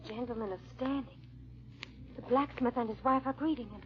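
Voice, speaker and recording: An actress playing a loyal, robust maidservant, excitable yet brave, in melodramatic 1940s radio drama style. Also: female voice, on the high side, brisk, slight background noise, lightly acted. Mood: tense, bracing. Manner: melodramatic, alarmed, deferential. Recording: muffled vintage radio recording.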